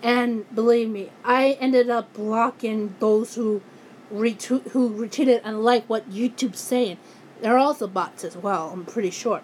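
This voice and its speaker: A woman ranting angrily in a vlog, hoarse and losing her voice, often breaking off and restarting her sentences. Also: a little high, fairly even intonation, measured pace, darkish tone, a little hiss or hum, rough recording. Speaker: YouTuber.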